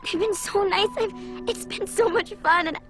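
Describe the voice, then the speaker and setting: A woman's voice: very high voice, moderate pace, over music. A young woman voicing an earnest, emotional young woman in an English-dubbed video game drama. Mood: tearful, pleading. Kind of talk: dramatic English dub voice acting.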